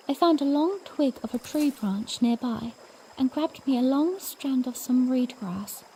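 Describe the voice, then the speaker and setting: Young princess , female actor, high and expressive, tender yet spirited, storybook audiodrama narration and dialogue. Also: slight background noise, rough recording. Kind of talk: first-person audiodrama narration with acted dialogue.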